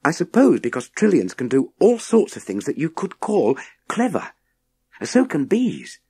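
A man voicing an eager, earnest young boy who speaks in a halting, urgent way. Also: a little high, wildly swooping pitch, warm tone, husky, no room sound, voice pushed up, exaggerated voice. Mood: earnest, thoughtful.

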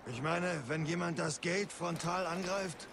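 A contemptuous military interrogator in a video game. He is curt and threatening, his voice fairly high, with loud ambience all around. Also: fairly even intonation, a little slow. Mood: cold contempt. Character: menacing male military interrogator, cold and contemptuous. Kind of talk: video game voice acting, German dub. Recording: very noisy battlefield ambience.

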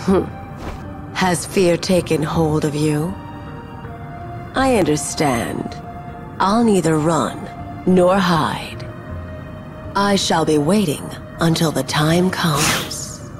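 A woman's voice: sits low, slow, pauses often, music in the background. A woman playing a menacing, cold, taunting sorceress in a video game, speaking in an imperious, theatrical manner. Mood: mocking, imperious.

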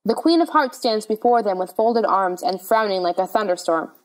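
A woman's voice: medium pitch, animated, moderate pace, poor audio. A woman narrator reading stage directions as scripted narration.

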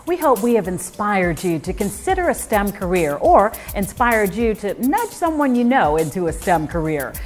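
A middle-aged woman TV host presents her scripted lines smoothly, her pitch moving in a lively way. Soft music plays faintly behind her in the studio. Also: moderate pace, hardly any pauses.

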